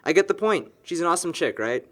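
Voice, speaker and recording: A young male actor as a sarcastic young guy with a somewhat high voice, exasperated with his best friend. He delivers casual audio drama dialogue in a needling, teasing way. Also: animated, fast, fairly bright tone. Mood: exasperated, earnest. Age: young adult.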